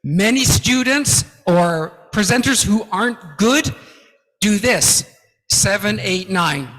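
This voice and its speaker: A man's voice: high-pitched, expressive, slow pace, a bit bright. A man giving an interactive lecture on presentation skills, emphatic and encouraging, counting aloud through drills in a large lecture hall. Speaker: guest lecturer. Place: large lecture hall with about two hundred people. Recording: echoey hall reverb.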